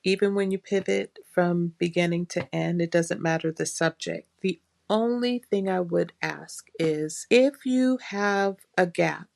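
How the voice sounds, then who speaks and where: A woman leaving a polite, measured voicemail message as a listener. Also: lowish voice, vocal fry, a little mellow.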